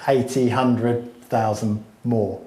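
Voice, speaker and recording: A man's voice: mid-range voice, level tone, slow pace, dark tone, echoey room. A man explaining land buying at length in a casual chat, with many fillers and restarts. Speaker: smallholding expert.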